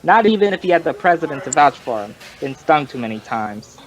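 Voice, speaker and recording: Folksy, rambling barfly, higher-pitched man. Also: sing-song, a little hiss or hum, rough recording. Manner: digressive, colloquial, drawn-out storytelling. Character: folksy, rambling male barfly informant telling his story. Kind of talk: old-time radio crime drama acting.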